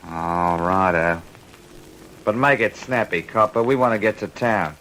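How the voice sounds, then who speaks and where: An actor playing a truculent Australian housebreaker and ringleader in an old radio crime drama, curt, slangy and bullying. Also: male voice, fairly low pitch, measured pace, a bit bright, a little hiss or hum, low-quality recording. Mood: defiant. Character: cocky, truculent male housebreaker, ringleader with a gun.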